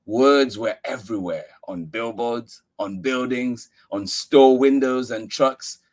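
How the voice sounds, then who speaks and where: A man reading a children's picture book aloud as a story-time host, with expressive, deliberate emphasis on key words. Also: medium pitch.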